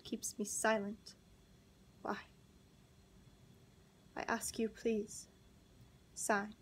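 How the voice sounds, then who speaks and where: A young woman performing a slam poem in an earnest, pleading way, with measured, deliberate phrasing. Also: on the high side, darkish tone. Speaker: spoken word poet. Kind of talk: slam poetry recitation.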